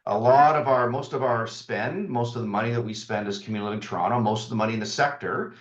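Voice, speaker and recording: A man's voice: medium pitch, fast. A man, the CEO, giving an informal welcome address with frequent fillers and restarts. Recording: roomy, dull lo-fi sound.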